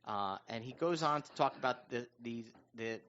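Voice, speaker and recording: A man's voice: mid-range voice, normal speed, a bit bright, muffled recording, slight background noise. A man on a talk radio panel, explaining his views in a casual, conversational way. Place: radio show panel discussion.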